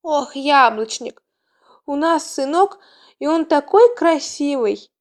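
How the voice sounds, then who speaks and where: Lady doing a mama cat in a storybook, highish voice, torn and emotional, kinda pleading. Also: moderate pace, a little mellow, close to an everyday voice. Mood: adoring. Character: expectant mother cat, anguished, conflicted, loving.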